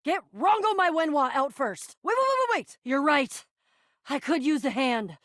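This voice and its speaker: A woman voicing an excitable, bragging adventurer in a video game, earnest and theatrical, often stammering. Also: high-pitched, a little slow, no echo, studio quality. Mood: flustered, urgent. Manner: stammering, theatrical, swinging from gushing to sulky. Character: excitable, bragging adventurer, a living household object, earnest and dramatic. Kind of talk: video game character voice acting.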